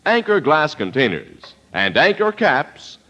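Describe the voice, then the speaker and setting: A man's voice: on the high side, wildly swooping pitch, a little slow, pushed and bright. An American man announcing in 1940s radio style, reading scripted sponsor copy with a polished, florid delivery. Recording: muffled old radio broadcast, music behind.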